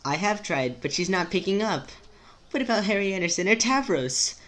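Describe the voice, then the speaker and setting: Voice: mid-range voice, expressive, moderate pace, a bit bright, voice pushed up, natural voice. An amateur reader voicing a poised, anxious mother who speaks in formal, precise, wordy sentences. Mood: worried, formal.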